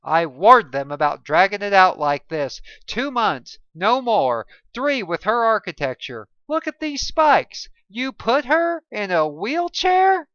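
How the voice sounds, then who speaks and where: Critical male doctor, clipped and scolding, read by a narrator, bright tone. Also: medium pitch, expressive, measured pace, few pauses, clean tone, no room sound, dull audio, pitched above the performer's own voice.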